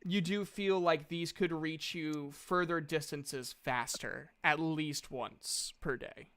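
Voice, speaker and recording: A man's voice: a little high, steady tone, slow, a bit bright, clean tone, no echo. A man narrating a tabletop game as game master, chatting casually with a playful, sweary manner.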